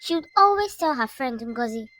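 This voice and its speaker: High-pitched woman storyteller. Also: lively intonation, normal speed, sharp tone. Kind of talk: scripted folktale narration.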